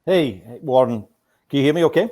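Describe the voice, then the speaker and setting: A man's voice: mid-range voice, expressive, brisk, mellow. A man speaking casually as a corporate guest being interviewed on an online call. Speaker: corporate sponsor guest, oil and gas executive.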